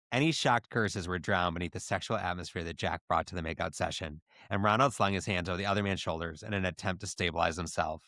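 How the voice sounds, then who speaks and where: A man's voice: deep voice, pushed and bright, dry recording, studio quality. A man hosting a podcast, reading a story aloud for comedy with casual asides. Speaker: podcast host and story reader. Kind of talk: comic dramatic reading with casual banter.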